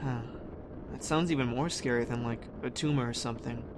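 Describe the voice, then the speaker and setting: A young man playing a blunt, troubled teenage boy in an audio drama, casual and halting. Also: mid-range voice, lightly acted. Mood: scared, frustrated. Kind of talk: fan audio drama acting. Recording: noisy ambience behind the voice.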